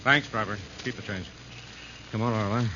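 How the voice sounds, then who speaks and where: Man playing a very fast-talking, decisive crime investigator. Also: fairly low pitch, close to an everyday voice. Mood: firm, urgent. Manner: clipped, authoritative. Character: decisive, protective male crime-busting investigator, firm and brisk. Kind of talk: 1940s radio crime drama acting. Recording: muffled, hissy old radio broadcast.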